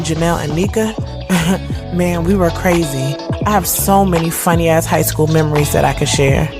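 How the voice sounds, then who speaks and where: A woman playing a sassy, candid thirty-year-old who tells her own story in slangy, conversational narration. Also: low-pitched. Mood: fond, nostalgic. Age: 30s. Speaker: narrator and lead of an audio drama series. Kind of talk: first-person storytelling narration, conversational urban drama.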